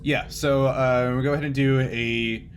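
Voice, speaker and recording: A man with a mid-pitched voice acts as game master for a tabletop session. He explains and narrates casually, improvising as he goes. There is some light noise in the recording. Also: flat delivery, moderate pace.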